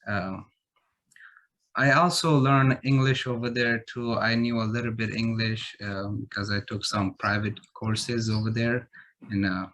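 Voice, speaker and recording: Young adult man from Afghanistan, lowish voice, halting interview guest. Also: fairly even intonation, fairly bright tone. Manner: halting, many uhs. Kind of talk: casual interview talk.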